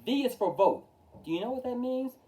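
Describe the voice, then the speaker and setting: Expressive male storyteller, very animated pitch. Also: brisk. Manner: rhythmic, emphatic delivery of rhyming verse. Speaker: storytime reader. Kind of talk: expressive children's picture-book read-aloud. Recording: distant from the mic.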